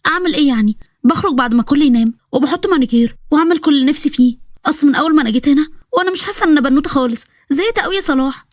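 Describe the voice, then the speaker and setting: Cartoon story: pampered cheeky Egyptian girl recruit, high and quick, voiced by a woman. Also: no room sound, studio quality, natural voice. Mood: sassy. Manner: sassy, chatty.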